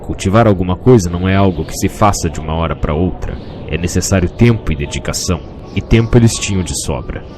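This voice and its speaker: A man's voice: deep voice, fast, hardly any pauses, over music. A man with a Brazilian accent narrating a scripted fantasy story.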